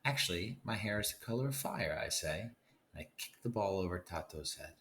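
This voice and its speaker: Very low-voiced male teacher, noisy recording. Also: lively intonation, moderate pace, a bit bright. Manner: instructional, addressing students directly. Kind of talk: recorded read-aloud lesson.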